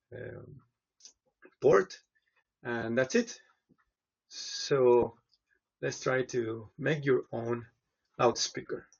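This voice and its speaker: A man, a professor giving step-by-step instructions in a halting, hesitant way. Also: medium pitch, vocal fry, highs cut off. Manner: halting, hesitant delivery with frequent pauses. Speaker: professor, tutorial presenter.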